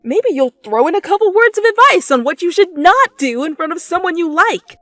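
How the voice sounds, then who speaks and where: A woman reading fan fiction aloud as an expressive, theatrical second-person narration. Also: very high-pitched, fairly quick, dry recording, studio quality. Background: music.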